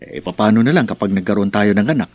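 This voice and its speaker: Devoted young husband, low and slightly rough, affectionate, soothing, radio melodrama. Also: male voice, animated, quick pace, darkish tone, dry recording. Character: devoted young husband, reassuring, proud provider. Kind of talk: melodramatic radio drama acting.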